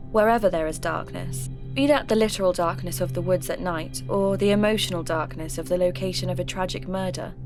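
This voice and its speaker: A woman acting as a radio host presenting a ghost story podcast over a clear music bed. Her voice sits fairly low, and she narrates in a measured, reflective way, sceptical yet intrigued. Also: natural voice.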